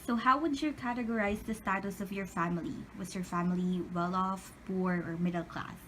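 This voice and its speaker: A woman with a mid-pitched voice works as an interviewer, reading out prepared questions. The recording carries a faint layer of background noise. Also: fairly even intonation, brisk, a bit bright, poor audio.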